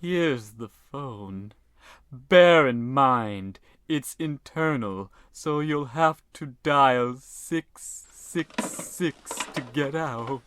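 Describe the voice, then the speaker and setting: An actor playing a sinister, courteous keeper of a haunted motel in a parody of radio horror, formal and ominous. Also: male voice, mid-range voice, drawn-out words, fairly bright tone, clean tone, no room sound.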